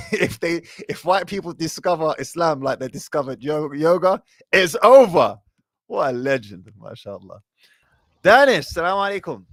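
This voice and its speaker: A man's voice: a little high, big pitch swings, moderate pace, dry recording, studio quality. A man hosting a call-in show, giving casual religious advice in an informal, emphatic way peppered with Arabic phrases.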